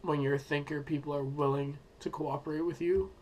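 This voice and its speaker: A young man who is sick and losing his voice, giving an unscripted school presentation with many pauses and fillers. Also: fairly high pitch, steady tone, a little mellow, a little hiss or hum, low-quality recording. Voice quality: hoarse. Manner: hesitant, many ums and uhs, thinking aloud. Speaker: student presenting a school project.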